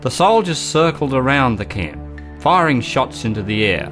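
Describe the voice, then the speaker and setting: A man narrating a scripted historical radio drama in a grave, measured manner. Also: medium pitch, big pitch swings, fairly bright tone, faint music behind.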